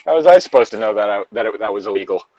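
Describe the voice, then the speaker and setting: A man's voice: lowish voice, expressive, rapid-fire, warm tone, no echo, muffled recording. A man co-hosting a comic read-aloud, bantering casually and swearing with mock indignation. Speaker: co-host reading video game creepypastas aloud.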